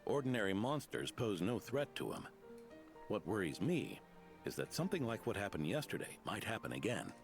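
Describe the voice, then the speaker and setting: A genial old veteran from a video game, speaks low and measured with an animated, grandfatherly lilt. Music plays behind him. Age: older. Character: kindly, aging old man, grandfatherly veteran. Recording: game dialogue over music.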